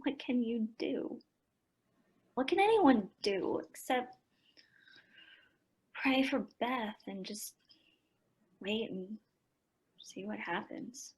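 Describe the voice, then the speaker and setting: A woman acting an earnest, worried teenage daughter in a modern radio play, with a slightly husky voice. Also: on the high side, lively intonation, slow pace, pauses often, heavily acted. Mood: sad, subdued.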